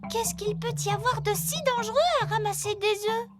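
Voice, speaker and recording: A woman voicing a small, curious, excitable fairy child in a children's cartoon, eager and inquisitive. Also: extremely high pitch, fairly quick, loud music behind the voice. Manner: eager, wide-eyed, inquisitive. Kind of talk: animated children's cartoon dub.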